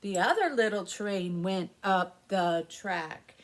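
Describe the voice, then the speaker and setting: A woman's voice: mid-range voice, expressive, slow. A woman in her fifties leading a baby story time, speaking and chanting in an exaggerated sing-song way. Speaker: library story time presenter. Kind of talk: children's story time with rhymes and songs. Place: small indoor room with alphabet backdrop. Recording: faint background noise.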